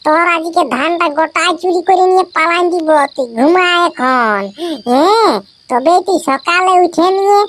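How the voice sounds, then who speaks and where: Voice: very high-pitched, normal speed, fairly bright tone. A performer with a Purulia Bengali accent voicing a brash, scheming village boy in a comedy cartoon, boastful and whining in rural dialect. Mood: vengeful. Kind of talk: exaggerated comedy cartoon dialogue.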